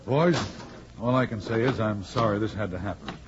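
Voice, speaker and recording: Man acting a gruff football coach, noisy old radio. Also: lowish voice, lightly acted. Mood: exasperated. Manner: brisk, blunt, grumbling. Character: gruff, exasperated male college football coach. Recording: muffled, noisy 1940s radio recording.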